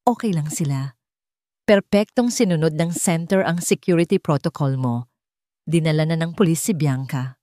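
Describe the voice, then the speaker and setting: A calm, protective father with a Filipino accent, played by a woman narrator in her lowish voice. He is resolute and matter-of-fact, as told in an audio story. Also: moderate pace, no room sound, clean studio sound, close to an everyday voice. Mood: serious, reassuring.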